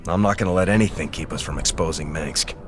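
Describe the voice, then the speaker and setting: An actor playing an earnest, dutiful second-in-command officer in a video game, serious and formal. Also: male voice, rumbling low, quick pace. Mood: resolute. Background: game music.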